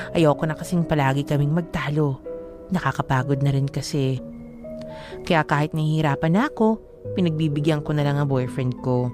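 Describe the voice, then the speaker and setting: A woman co-hosting radio, moving between dramatic letter reading and playful, casual banter. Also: low voice. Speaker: radio co-host and letter reader. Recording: music bed under the voice.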